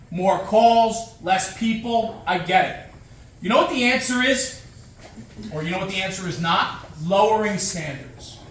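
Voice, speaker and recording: Emphatic high-pitched male speaker, echoey room. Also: fairly bright tone. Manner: emphatic, punchy short phrases with rhetorical questions and repetition. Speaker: guest speaker, firefighter. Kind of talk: motivational conference talk. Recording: echoey room, distant mic, faint noise.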